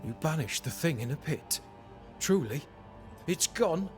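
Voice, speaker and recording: An actor playing a proud, defiant captain in a video game, with a haughty and formal way of talking. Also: male voice, a little high, animated, unhurried, a little mellow, soft background music. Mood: disbelieving. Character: proud, defiant male captain facing mutiny. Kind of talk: dramatic video game acting.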